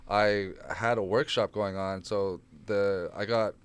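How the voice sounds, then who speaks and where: A man's voice: fairly low pitch, slow pace, smooth. A man in his thirties, a comedian guest, telling rambling stories in casual chat and swearing freely. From Canada. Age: 30s. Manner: rambling, animated, swears often. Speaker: stand-up comedian, podcast guest.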